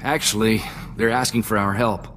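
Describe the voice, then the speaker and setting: Actor playing an earnest, low-voiced young adventurer sidekick. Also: male voice, fairly even intonation, moderate pace, a bit bright, a little hiss or hum, natural voice. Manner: earnest, reflective, folksy plain talk. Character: earnest, loyal young space-adventurer sidekick man, plainspoken. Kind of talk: video game cutscene dialogue.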